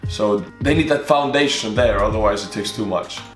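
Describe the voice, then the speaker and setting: A man's voice: lowish voice, normal speed, echoey room, soft background music. A young man with an Estonian accent, casually reacting to a video and telling stories from his army days, often restarting his sentences. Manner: chatty, restarts often, talks through personal stories. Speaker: YouTube reaction host.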